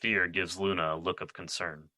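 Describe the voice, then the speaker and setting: A man narrates an audio drama by reading out stage directions. His voice is very low, with a crisp, forceful edge. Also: little pitch movement, moderate pace, no echo.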